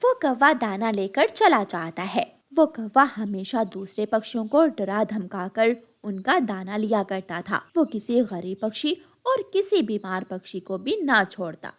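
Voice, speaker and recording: A woman's voice: mid-range voice, sing-song, unhurried, hardly any pauses. A woman narrating a scripted children's story.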